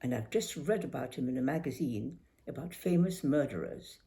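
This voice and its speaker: A knowing schoolgirl big sister, read by an older woman from a memoir talks in a casual, matter-of-fact way. The intonation is varied and expressive. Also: rumbling low, normal speed.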